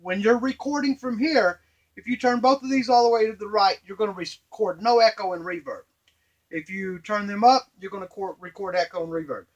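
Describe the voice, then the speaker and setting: A middle-aged man giving an informal, halting hands-on tutorial at a workbench, explaining step by step. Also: sits high, lo-fi sound. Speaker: device maker and demonstrator. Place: small indoor workshop at a workbench. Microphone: camera a few feet away.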